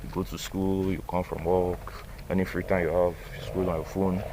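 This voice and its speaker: A man's voice: sits low, little pitch movement, moderate pace, background noise. A man, rambling loosely through a casual motivational monologue for his vlog, full of "like" and "bro". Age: 20s. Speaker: vlogger. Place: outdoors by a banana tree.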